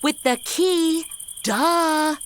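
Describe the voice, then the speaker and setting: Woman playing a high-pitched, sarcastic young woman. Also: extremely slow, slight background noise. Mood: impatient. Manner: snarky, blunt, animated. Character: sassy, sarcastic modern young woman, exasperated. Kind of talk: comedy audio drama.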